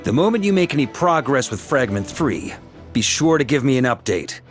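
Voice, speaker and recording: A man's voice: mid-range voice, sing-song, normal speed, faint music behind, lightly acted. A man playing an easygoing, confident middle-aged knight commander in a video game. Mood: commanding. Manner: breezy, commanding.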